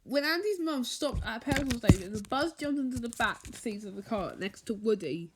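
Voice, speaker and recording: A woman reading a children's bedtime story aloud, haltingly, stumbling over and repeating words as she goes. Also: a little high, animated, noisy background. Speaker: storybook reader.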